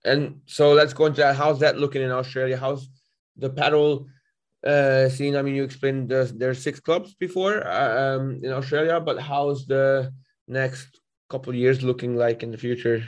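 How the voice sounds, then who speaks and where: A man's voice: medium pitch, steady tone, normal speed. Casual man in his thirties hosting. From Hungary. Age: 30s. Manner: casual, encouraging backchannels. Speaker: podcast host. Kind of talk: podcast interview.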